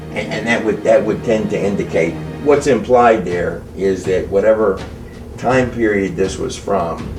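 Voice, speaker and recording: A man asking interview questions tentatively, circling back and repeating phrases as he goes. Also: fairly low pitch. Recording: echoey room, distant microphone.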